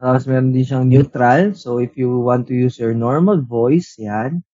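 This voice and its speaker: Filipino man doing a relaxed gadget review. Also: mid-range voice, moderate pace, lo-fi sound. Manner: relaxed Taglish code-switching, frequent "uh" and "so". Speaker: tech reviewer host. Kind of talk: casual product review and demo. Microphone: headset built-in mic.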